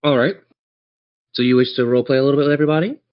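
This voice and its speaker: A man running a tabletop game as game master, narrating in a theatrical, measured storytelling manner. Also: mid-range voice. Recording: dull lo-fi audio.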